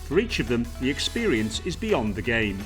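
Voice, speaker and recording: A man reads a sports feature in a smooth, measured way, his pitch rising and falling with plenty of movement. Plucked guitar music runs clearly underneath. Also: sing-song. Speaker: narrator. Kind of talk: scripted sports narration.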